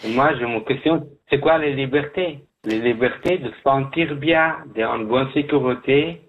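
A man from Afghanistan, speaking as a guest in a radio interview, halting, with many restarts. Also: medium pitch, phone-quality sound. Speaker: guest job seeker.

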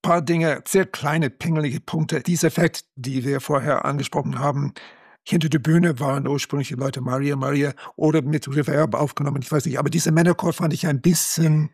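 Husky-voiced man, speaking German. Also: on the high side, steady tone, brisk, darkish tone. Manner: hesitant, with fillers and picky asides. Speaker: music critic panelist. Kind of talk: casual panel discussion.

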